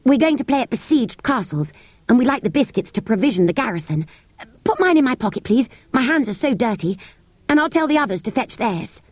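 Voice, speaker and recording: Brief: coaxing young girl, high and polite, read by a woman. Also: expressive, brisk, no room sound.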